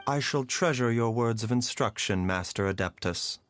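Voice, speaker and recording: A man voicing a composed, courteous pharmacist in a video game, polite, measured and formal in his phrasing. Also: medium pitch, fairly bright tone, a little hiss or hum, natural voice.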